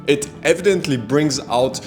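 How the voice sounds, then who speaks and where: A man's voice: a little high, moderate pace, darkish tone, faint music behind. Young austrian guy talking to camera, not a native english speaker, earnest and thoughtful, trips over words sometimes. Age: young adult. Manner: earnest, thoughtful, with frequent restarts and fillers. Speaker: video creator and freelancer. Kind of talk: reflective talking-head vlog.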